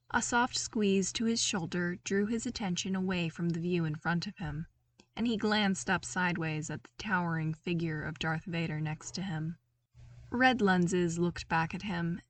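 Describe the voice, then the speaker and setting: A woman reading a story aloud as an audiobook narrator, in an expressive scripted style. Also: medium pitch.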